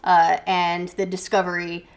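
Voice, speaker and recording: A woman's voice: on the low side, monotone, a little slow. A woman hosting a movie review show, talking in a casual, conversational and explanatory way. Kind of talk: casual discussion and review chat.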